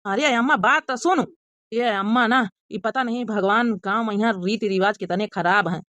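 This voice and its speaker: Woman voicing a bright, earnest young Awadhi husband. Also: medium pitch, normal speed, no echo, lightly acted. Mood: pleading, exasperated. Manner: earnest, reasoning, reassuring. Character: young married son, protective, generous and reassuring. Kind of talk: cartoon comedy dialogue.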